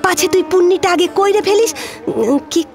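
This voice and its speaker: A woman's voice: very high-pitched, expressive, a little fast, darkish tone, soft background music. A woman playing an older village maidservant in an audio drama, rustic, motherly and emotional, speaking rural West Bengal dialect. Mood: confessing. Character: older village maidservant on pilgrimage, tender-hearted, plain-spoken.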